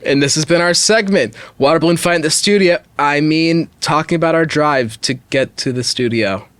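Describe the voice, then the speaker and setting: A man's voice: on the high side, moderate pace, fairly bright tone, smooth, no echo. A man hosting a podcast in a casual, playful, tongue-in-cheek way. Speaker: podcast host. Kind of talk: casual comedy podcast banter, ad read and story reading.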